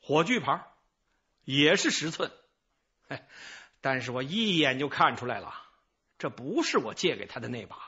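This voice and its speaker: An actor playing a chatty, evasive middle-aged informant in a radio drama, rambling, sighing and excusing himself. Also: male voice, medium pitch, sing-song, moderate pace, long pauses, pushed and bright, husky, dull audio, exaggerated voice. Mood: animated, confiding. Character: garrulous, evasive male small-time informant, self-excusing.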